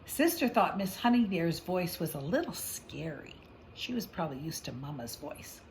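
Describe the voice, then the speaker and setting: A woman's voice: on the low side, very animated, normal speed, a little hiss or hum, poor audio. A woman reading a picture book aloud, with chatty asides and questions as if to a young child. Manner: conversational, explaining to a young child, gentle questions. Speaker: storybook reader.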